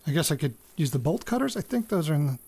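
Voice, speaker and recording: A man's voice: fairly high pitch, very animated, quick pace, a little mellow, slightly rough, exaggerated voice. An actor playing a hesitant farm-raised man in an audio drama, murmuring and thinking aloud as if into a recorder. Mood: hesitant, musing.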